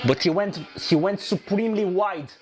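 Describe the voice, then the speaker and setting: A man reacting live to a motor race, excitable and repeating himself, his voice hoarse and nearly gone from shouting. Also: high voice, moderate pace, music in the background.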